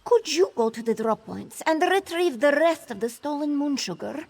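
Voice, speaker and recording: Woman as high-voiced, proud cat-folk woman. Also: animated, vocal fry, darkish tone. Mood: softened, resolute. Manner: self-assured, brisk, refers to herself in third person. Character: proud, fiery cat-folk woman smuggler-hunter, confident. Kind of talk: video game character dialogue, AI-voiced.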